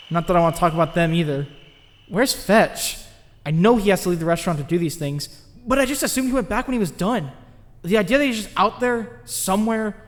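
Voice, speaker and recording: A man's voice: sits high, long reverb tail. An actor playing an anxious, brooding, science-obsessed teenage boy in a comic dub, earnest and prone to questioning himself. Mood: brooding, determined. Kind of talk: acted comic dub with inner monologue.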